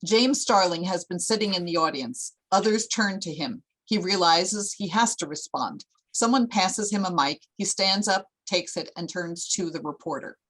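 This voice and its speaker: A woman's voice: on the low side, normal speed, few pauses, fairly bright tone, rough recording. A woman narrating a screenplay at a table read, reading out scene headings and stage directions.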